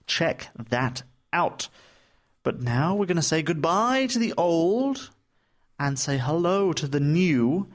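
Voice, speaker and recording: Joking male podcast co-host. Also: fairly high pitch. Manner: joking, laughs easily. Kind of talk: casual chat.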